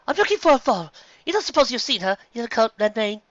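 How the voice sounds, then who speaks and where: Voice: mid-range voice, big pitch swings, fast talker, a bit bright, no room sound, highs cut off, voice pushed up. Reader playing a fierce, determined mare. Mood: anxious. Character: determined young mare farmer, brave and protective.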